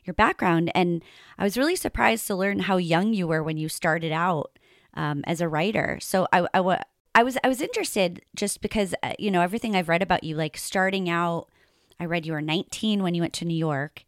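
A woman's voice: on the low side, a little fast, dry recording, studio quality. A woman hosting an interview over a video call, chatty, with many fillers and frequent laughs. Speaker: podcast host. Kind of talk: casual interview chat.

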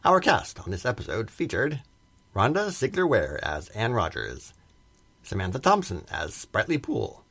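A man's voice: lowish voice, wildly swooping pitch, moderate pace, fairly bright tone. A man working as a radio drama announcer, reading scripted credits in a formal, theatrical manner.

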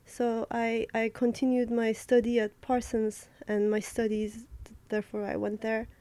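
A young woman from Turkey answers questions about her art, pausing often and restarting her thoughts. Her voice sits a little high and sounds mellow and soft. The recording is dry and close. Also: completely flat delivery, no echo. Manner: thoughtful, halting, many fillers and restarts. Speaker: artist. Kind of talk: interview answers.

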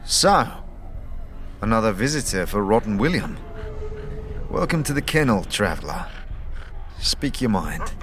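A man's voice: fairly low pitch, very animated, unhurried, pauses often, a bit bright. A man acting a confident, cruel and indolent gang boss in a fantasy audio drama, menacing and mock-welcoming. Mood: smug menace.

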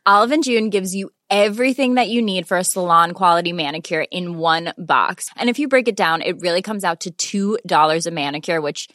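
A woman's voice: fairly low pitch, fairly quick, hardly any pauses, fairly bright tone, no echo, clean studio sound. A woman giving a chatty, emphatic personal endorsement in a podcast sponsor read. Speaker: podcast co-host reading sponsor ad.

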